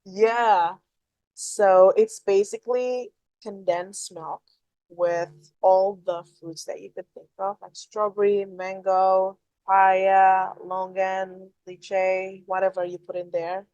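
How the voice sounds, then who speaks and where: A woman's voice: medium pitch, flat delivery, measured pace, warm tone, no room sound, lo-fi sound. A woman, chatting casually as a podcast guest and explaining food customs. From Indonesia.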